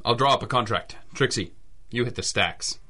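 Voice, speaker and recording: A man's voice: mid-range voice, normal speed, bright, forceful tone. A man playing a hard-boiled, wisecracking private eye in an old-school radio detective drama, sardonic and clipped. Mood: sardonic, businesslike. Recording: music under the voice.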